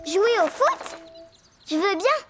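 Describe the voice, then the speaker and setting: An actor voicing a cheerful, scatterbrained little girl in an animated children's cartoon, excitable and full of exclamations. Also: very high voice, slow, full character voice. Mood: eager. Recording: music behind the voice.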